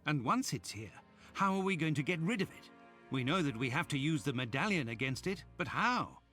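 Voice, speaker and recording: A genteel, fussy companion to a detective in a video game. His pitch is a little high and swings expressively as he speaks politely. Clear music runs underneath. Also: brisk. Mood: uneasy. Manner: polite, expressive, slightly fretful. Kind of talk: video game cutscene acting.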